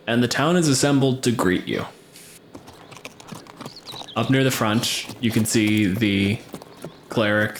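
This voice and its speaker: Animated man running a tabletop game. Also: fairly low pitch, a little hiss or hum. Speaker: game master. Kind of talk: casual improvised narration of a tabletop game.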